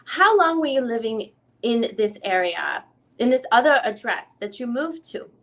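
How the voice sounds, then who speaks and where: A woman reading the part of a female lawyer who questions a witness in a deposition, in halting, rephrased questions. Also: fairly high pitch, expressive, a bit bright. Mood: probing. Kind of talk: dramatic reading of a legal deposition transcript.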